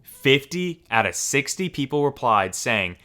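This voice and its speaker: A man hosting a story channel, reading forum posts aloud in a steady, even storytelling manner. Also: mid-range voice, unhurried.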